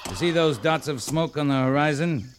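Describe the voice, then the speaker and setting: An actor playing a weary, authoritative cavalry captain in a 1950s radio western, with a clipped, commanding delivery. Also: male voice, fairly high pitch, normal speed, close to an everyday voice. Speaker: radio drama lead actor. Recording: noisy old radio recording.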